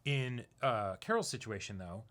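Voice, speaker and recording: Bright-voiced man chatting casually. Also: fairly low pitch, animated, moderate pace. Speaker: co-host of an anime reaction discussion. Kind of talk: casual chat, discussion.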